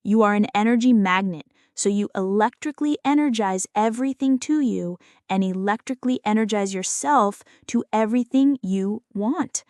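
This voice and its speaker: A woman reading a scripted audiobook in a measured, clearly enunciated, explanatory way. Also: mid-range voice, a little mellow, no room sound, studio quality. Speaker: audiobook narrator.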